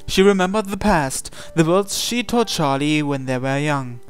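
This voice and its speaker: A man's voice: a little high, expressive, moderate pace, smooth, soft background music. A man reading the scripted narration of a visual novel aloud in a dramatic style.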